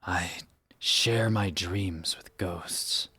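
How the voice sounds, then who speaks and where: A man playing a weary, haunted rebel in a solemn, hushed dramatic monologue. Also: sits low, drawn-out words, slightly rough, no echo, heavily acted. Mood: bleak, resigned. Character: weary, haunted rebel spymaster confessing his sacrifices.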